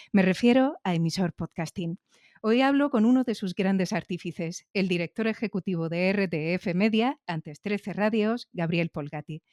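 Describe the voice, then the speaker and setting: A woman's voice: on the low side, a little mellow, no echo, studio quality. A woman hosting an interview podcast, presenting and putting questions to a guest.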